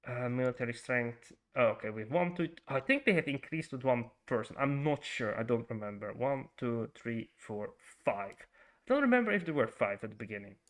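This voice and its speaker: A man's voice: mid-range voice, lively intonation, moderate pace. A man with a Frisian Dutch accent streaming a strategy game, thinking aloud, greeting viewers and stumbling through fillers and restarts. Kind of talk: casual livestream commentary while playing.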